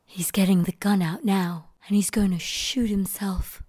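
Husky, drunken witness. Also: female voice, mid-range voice, steady tone, unhurried, darkish tone. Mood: ominous. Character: drunken woman witness, ominously matter-of-fact. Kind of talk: audio drama acting.

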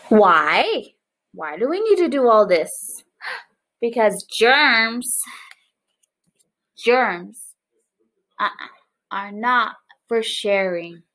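A young woman preschool teacher reading a picture book aloud to small children and explaining it, with animated, exaggerated expression. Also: a little high, rough recording. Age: young adult. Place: small living room, indoors, on a couch.

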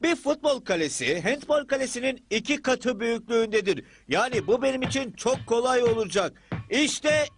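A man voicing an overconfident, boastful boy soccer player in an animated cartoon dub. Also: very high-pitched, normal speed, a little hiss or hum. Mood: cocky. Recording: loud music behind the voice.

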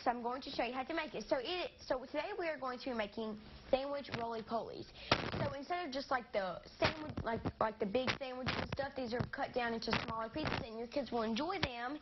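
A woman's voice: high-pitched, a little fast. A teenage girl, chatting through a cooking demonstration on a studio kitchen set, upbeat and sometimes losing her words. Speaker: kid cooking segment presenter. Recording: slightly dull TV audio with faint noise.

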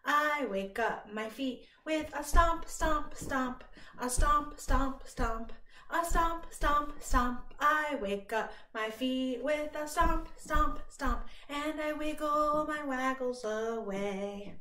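Slow, high-voiced woman leading toddler rhymes. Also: sharp tone, a little hiss or hum. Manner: exaggerated, slow, encouraging delivery with active movement. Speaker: children's librarian leading a toddler storytime. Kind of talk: singsong action rhymes and instructions for toddlers. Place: small bright indoor room at home. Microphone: device camera a few feet away. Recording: roomy echo, distant microphone.

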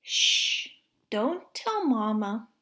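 Lady doing a little monkey kid from a picture book, high voice, excitable, fretting and shushing. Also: extremely slow, exaggerated voice. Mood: hushing. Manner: urgent, childlike exclamations and shushing.

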